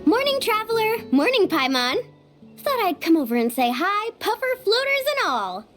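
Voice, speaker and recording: A woman's voice: very high voice, moderate pace, faint music behind, exaggerated voice. A woman playing an upbeat, sporty young tour guide in a video game, youthful and chummy in manner. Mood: cheerful. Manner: breezy, chummy, energetic. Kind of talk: English video game voice acting.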